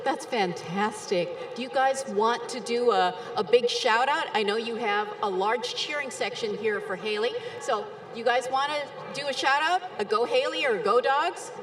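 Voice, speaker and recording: A woman's voice: on the high side, normal speed, hardly any pauses, noisy background. A woman reporting from the stadium stands, bubbly and encouraging as she talks with families. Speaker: roving reporter. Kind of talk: live field interviews. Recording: roomy with crowd ambience. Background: crowd ambience.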